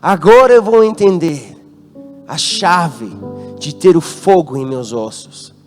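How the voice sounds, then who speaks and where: An American man preaches with a voice that sits a touch high for a man. He asks the congregation to call out answers and speaks with an American accent. Soft instrumental music plays faintly underneath. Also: sing-song, slow. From United States. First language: English. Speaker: guest pastor. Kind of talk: sermon. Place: church hall.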